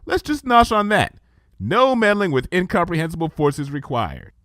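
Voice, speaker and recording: A man's voice: sits high, big pitch swings, normal speed, a bit bright, no echo, studio quality, pitched above the performer's own voice. A man voicing an earnest, bookish, anxious young female pony in a storybook reading, polite and careful in manner. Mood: alarmed, pleading. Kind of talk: storybook fan fiction character reading.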